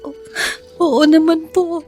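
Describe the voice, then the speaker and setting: A high-pitched young Filipino daughter in a radio drama. She is respectful and earnest, hesitating politely, over a faint bed of music. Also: fairly even intonation, takes it slowly, warm tone. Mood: contrite.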